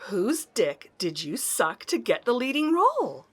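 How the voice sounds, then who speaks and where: A woman playing a bold, sharp-tongued mystery woman in an audio drama, blunt and self-possessed. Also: a little high, wildly swooping pitch, unhurried, slightly rough, full character voice. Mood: mocking.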